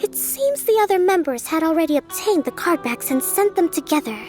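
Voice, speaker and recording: Very high-voiced, composed young priestess. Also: female voice, lively intonation, fairly quick, a little mellow, faint music behind. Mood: analytical. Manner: articulate, reasoning step by step. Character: young shrine priestess strategist, bookish, composed, quietly confident. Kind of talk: video game voice acting.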